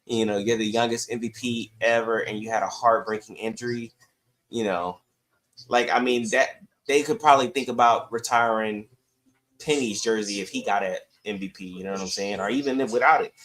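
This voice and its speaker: A man's voice: on the low side, steady tone, brisk, fairly bright tone. Man chatting casually about sports. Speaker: sports podcast host.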